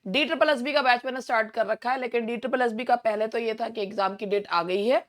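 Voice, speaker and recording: An Indian woman with a hoarse, sore throat teaching an online English exam-prep lesson in a chatty way, often clearing her throat. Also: a little high, steady tone, fast talker, fairly bright tone.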